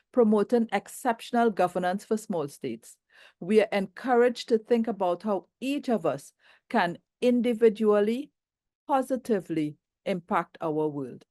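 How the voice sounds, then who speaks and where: A woman hosting a governance event, giving a formal, measured introduction of the keynote speaker. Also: mid-range voice, dark tone, dry recording, clean studio sound. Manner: measured, formal, reading from notes. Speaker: event host and moderator.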